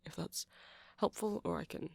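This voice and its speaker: A woman's voice: on the low side, normal speed, creaky, no echo. A woman playing an awkward, flustered male vampire who runs a music shop, stammering and rambling in an intimate ASMR roleplay. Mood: friendly, rambling. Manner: hesitant, stammering, rambling, apologetic.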